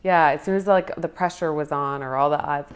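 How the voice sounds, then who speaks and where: A woman with a fairly low voice, speaking as a guest on a podcast. She talks in a reflective, slightly hesitant way. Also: fairly quick. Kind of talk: casual conversation.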